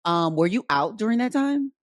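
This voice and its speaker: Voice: mid-range voice, animated, normal speed, dry recording, studio quality. A host from the Midwest interviewing a guest in a sassy, playful way with lots of slang. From Racine, Wisconsin. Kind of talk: casual interview talk.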